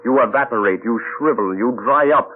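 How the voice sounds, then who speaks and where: An actor playing a cold, measured male mad scientist who threatens quietly, in an old radio drama heard as a tinny broadcast. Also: mid-range voice, slight background noise, lightly acted. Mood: menacing. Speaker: villain inventor. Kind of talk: 1940s radio acting.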